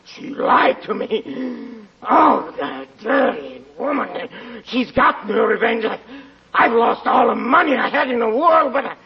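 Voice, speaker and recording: An actor in a 1940s radio drama playing a haunted, anguished murderer, stammering and manic. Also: male voice, extremely high pitch, expressive, measured pace, gravelly, a crowd in the background, heavily acted. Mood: anguished confession. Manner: stammering, manic, breaking into mad laughter. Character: crazed gambler, a haunted murderer raving in anguish. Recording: muffled 1940s radio recording, crowded background.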